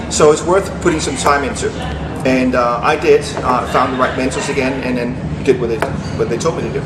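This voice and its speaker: A man from Denmark, a guest in a business interview, talking in an earnest, steady, motivational way. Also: on the high side. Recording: echoey room with background noise.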